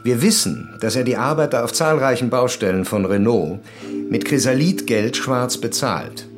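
An actor playing a determined, grim police commissaire in a crime radio drama, speaking deliberately and formally. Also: male voice, mid-range voice, music in the background, natural voice. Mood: formal, insistent.

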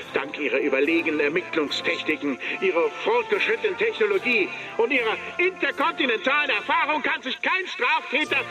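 An actor playing a pompous, boastful police chief who declaims a public speech in a radio drama. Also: male voice, sits high, rough recording. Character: pompous, boastful male Ghanaian police chief addressing the press.

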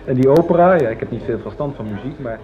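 A dull old radio play recording with busy chatter and dishes behind. A man acts a genial, chatty dinner companion with lively pitch. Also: fast talker, natural voice. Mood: musing, amused. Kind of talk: naturalistic radio drama acting. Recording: muffled radio play, restaurant clatter and chatter.

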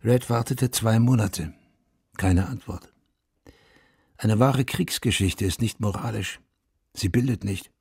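An actor playing a haunted, self-accusing Vietnam War veteran who narrates a radio drama in a sober, confessional, terse way. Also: male voice, on the low side, slow, vocal fry, pauses often, darkish tone, breathy edge, no echo, full character voice. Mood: grim, pointed. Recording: studio radio play with music underneath.

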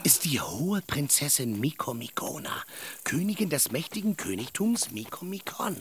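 An actor playing a simple, eager, greedy peasant squire in a radio drama, earthy, naive and blunt. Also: male voice, on the high side, very animated, moderate pace. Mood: eager, pleading. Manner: earthy, naive, plain-spoken.

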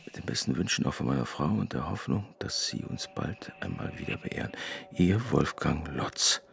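An actor in a German radio drama playing a man who murmurs slowly as he reads to himself. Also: rumbling low, lively intonation, gravelly, fairly bright tone, full character voice. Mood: quietly pleased.

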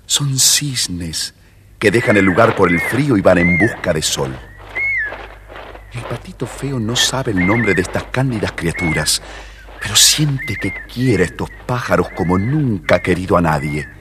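A man's voice: deep voice, lively intonation, unhurried. A man narrating a scripted children's story as the storyteller. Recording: faintly noisy vintage story record.

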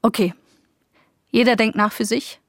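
A woman's voice: fairly high pitch, steady tone, unhurried, no echo, studio quality. An actress playing the narrator's mother as a young woman in a radio drama, speaking bluntly in short, pleading lines.

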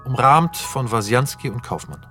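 A man's voice: medium pitch, animated, moderate pace, over music. A man narrating scripted scene directions for a radio drama in a matter-of-fact way, in short clipped phrases.

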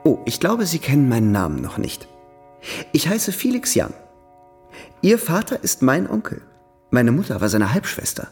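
Exuberant young gentleman with lively swooping delivery. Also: fairly high pitch, moderate pace, long pauses, husky, soft background music, full character voice. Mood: charming, playful. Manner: polite, effusive, playfully theatrical. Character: charming, worldly, exuberant young European gentleman visitor. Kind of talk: radio drama acting.

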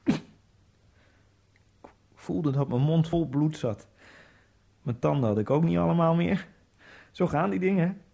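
A man narrating a radio drama in the first person, in a halting, fragmented inner monologue with a gravelly voice. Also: on the high side, mellow. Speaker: narrator and main character. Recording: radio drama with faint music.

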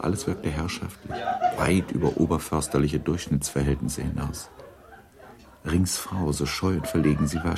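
A man narrating a literary radio play in a measured, dryly ironic storytelling manner. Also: rumbling low, vocal fry.

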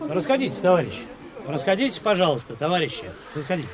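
An actor playing a brisk, insistent policeman on crowd duty in a Russian radio drama, with a busy crowd around him. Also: fairly high pitch, big pitch swings. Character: brisk male policeman ordering onlookers away.

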